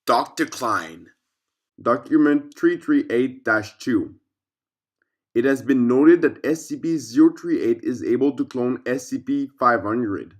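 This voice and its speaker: A man reading a scripted fictional case file aloud as narrator, in a formal, measured way. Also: medium pitch, expressive.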